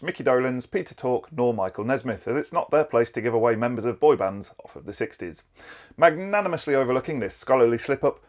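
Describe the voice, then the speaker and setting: Dry, sardonic narrator. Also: male voice, mid-range voice. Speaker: segment narrator. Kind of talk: scripted narration.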